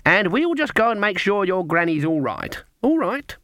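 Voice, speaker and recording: A man's voice: high-pitched, big pitch swings, normal speed, pushed and bright, dry recording, clean studio sound, pitched above the performer's own voice, exaggerated voice. A man voicing a stern old woman witch in an audiobook, brusque and commanding, though awkward with children. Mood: brisk. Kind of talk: audiobook character voice.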